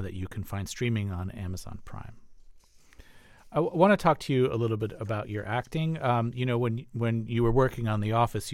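A male radio host asking interview questions in a measured, conversational way. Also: medium pitch, darkish tone, no echo. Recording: dry studio sound.

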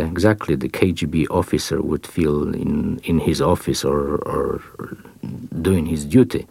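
Super deep slow polish-accented guy, lots of uhs and restarts, dry sound. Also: vocal fry, a little mellow, no echo. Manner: halting, many fillers and repeated starts. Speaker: interview guest. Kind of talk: unscripted interview answers.

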